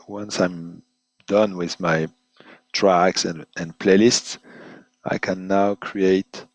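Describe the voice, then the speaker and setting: A man presenting an unscripted webinar software demonstration in halting, hesitant phrases, often repeating words as he goes. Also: low voice, creaky, breathy edge, no echo, poor audio. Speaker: product presenter giving a software demo.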